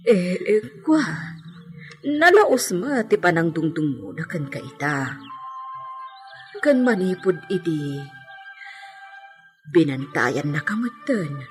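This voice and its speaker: A woman's voice: lowish voice, very animated, takes it slowly, pauses often. A woman with a Filipino accent acting a concerned older village confidante in a radio drama. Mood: concerned, probing. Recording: background music under voice. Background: music.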